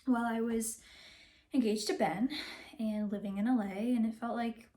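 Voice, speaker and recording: A young woman playing a wistful, candid actress confiding in her divorce lawyer in a halting, rambling dramatic monologue. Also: fairly high pitch, fairly even intonation, dark tone, natural voice. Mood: hesitant, confessional. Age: young adult. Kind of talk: naturalistic dramatic film monologue.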